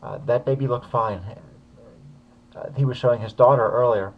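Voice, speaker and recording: A man's voice: mid-range voice, normal speed, dull audio, a little hiss or hum. A man casually commenting on a video he uploaded, talking hesitantly with many fillers.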